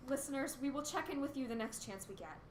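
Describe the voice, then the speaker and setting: A woman's voice: high voice, lively intonation, fast talker. A woman playing an anxious but determined radio host during a disaster, in a radio play. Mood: insistent. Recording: roomy echo with faint noise.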